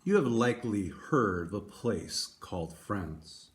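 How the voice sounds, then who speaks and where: A man hosting a read-aloud for schoolchildren, speaking in a deliberate storytelling way to young listeners. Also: fairly low pitch, animated. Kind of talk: expressive children's picture book read-aloud.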